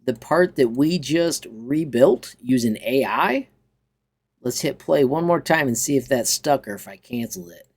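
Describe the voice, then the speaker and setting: Male how-to presenter, medium pitch, informal and enthusiastic, talking viewers through software. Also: lively intonation, measured pace, breathy edge. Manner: chatty, enthusiastic. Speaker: tutorial host. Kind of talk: informal software walkthrough with upbeat sign-off.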